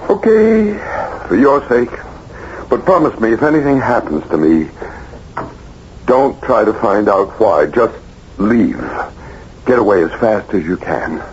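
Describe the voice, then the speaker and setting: Haunted fearful husband, old radio play, low swoopy voice, muffled. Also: male voice, very animated, unhurried, slight background noise. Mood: fearful, foreboding. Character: troubled, fearful husband, brooding and apologetic. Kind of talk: old-time radio drama acting.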